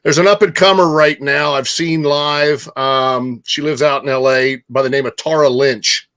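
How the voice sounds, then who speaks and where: A man co-hosting a casual chat show, speaking informally in a halting but emphatic way. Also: mid-range voice, steady tone, a bit bright. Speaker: show co-host.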